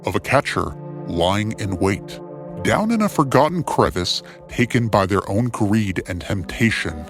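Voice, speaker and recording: A man delivers scripted dramatic narration at a slow, deliberate pace. His voice sits fairly low and stays solemn and measured. Music plays clearly underneath him. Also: very animated. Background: music bed.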